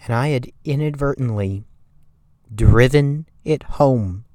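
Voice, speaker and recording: Man acting a slow, timid, shaky horror narrator. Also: mid-range voice, mellow, no room sound, natural voice. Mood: dread. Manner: timid, shaky, halting with stammered repeats. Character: timid, shaky, haunted narrator recalling a frightening night drive. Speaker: creepypasta reader. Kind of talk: dramatic first-person horror story reading.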